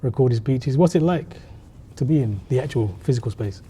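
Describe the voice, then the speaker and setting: A man's voice: moderate pace, mellow. A man in his forties asking questions in a casual, conversational interview style. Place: seated in empty theatre auditorium. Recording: faint background rumble.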